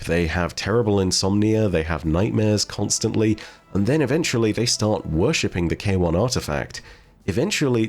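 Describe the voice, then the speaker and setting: A man's voice: on the low side, darkish tone. A man hosting a gaming lore video, talking to viewers in a conversational commentary style. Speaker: host of a game lore video channel.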